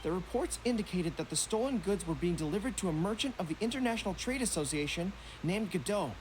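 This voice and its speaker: A man's voice: sits high, animated, fast, noisy background. A man voicing a young, courteous, dutiful general in a video game, speaking formally and seriously. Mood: earnest, businesslike.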